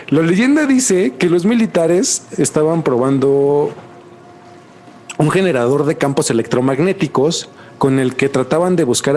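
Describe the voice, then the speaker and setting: A man with a Mexican accent hosting a podcast in casual chat, slangy and animated, repeating words for emphasis. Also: on the high side. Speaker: podcast host.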